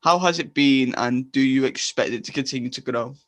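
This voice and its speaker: Man acting a wry, medium-pitched podcast interviewer. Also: fairly quick, lightly acted. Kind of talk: comedy audio drama, mock interview.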